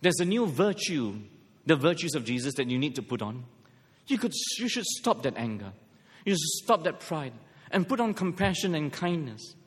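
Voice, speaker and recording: A man's voice: fairly high pitch, big pitch swings, normal speed, slightly rough. A middle-aged man from Malaysia preaching a sermon, mixing scripture with humorous personal stories. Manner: storytelling with self-deprecating humor. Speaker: pastor. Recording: long hall reverb.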